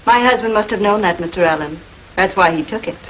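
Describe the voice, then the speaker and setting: An actress playing a defensive, haughty estranged wife in a 1940s filmed crime drama, fiercely protective and quick to take offence. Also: female voice, medium pitch, close to an everyday voice. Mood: bitter, defensive. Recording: noisy old 1940s film soundtrack.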